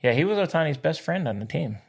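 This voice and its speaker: A man's voice: on the high side, lively intonation, fast talker, gravelly, slightly rough. A man co-hosting a podcast in casual, slangy sports banter. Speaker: podcast co-host.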